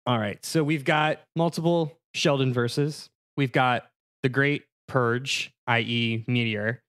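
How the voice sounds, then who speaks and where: A man's voice: medium pitch, steady tone, slow, fairly bright tone. A man hosting a podcast in a casual, joking, conversational style. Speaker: podcast host. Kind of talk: casual comic banter.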